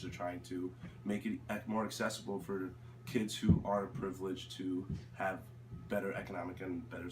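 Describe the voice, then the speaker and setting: A young American man with a fairly low voice gives informal interview answers. He speaks hesitantly and searches for words. The recording is roomy and distant from the microphone, with faint noise. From United States.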